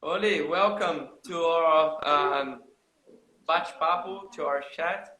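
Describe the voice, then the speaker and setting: A man hosting a live video chat who greets viewers by name and switches into halting English. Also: fairly high pitch, pushed and bright, reverberant, low-quality recording. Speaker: host.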